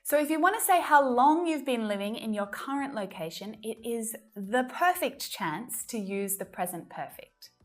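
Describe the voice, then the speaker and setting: A woman teaching English, speaking clearly and slowing down to model example phrases. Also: a little high, animated, soft background music. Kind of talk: English lesson to camera.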